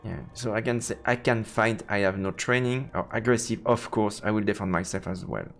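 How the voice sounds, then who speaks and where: A man's voice: lowish voice, a little fast, reverberant, big-room sound, faint music behind. A man streaming a game, switching between let's play commentary and reading the story text aloud.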